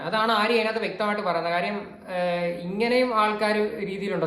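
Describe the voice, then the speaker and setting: An echoing room surrounds this woman with a Kerala accent. Her voice sits fairly low as she gives casual, conversational commentary to her viewers. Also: a bit bright. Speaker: video commentator. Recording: roomy, echoing indoor sound.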